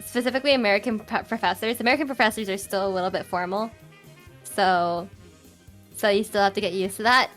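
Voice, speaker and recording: A young woman with a high-pitched, loud voice, chatting casually as an interview guest, with many fillers and restarts. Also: steady tone, quick pace, faint music behind. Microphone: home streaming mic with EQ and compressor.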